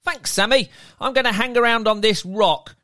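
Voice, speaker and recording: A man's voice: high-pitched, moderate pace, pushed and bright, pitched above the performer's own voice. A man voicing a cheerful, laid-back merman in a storybook reading, chatty and breezy.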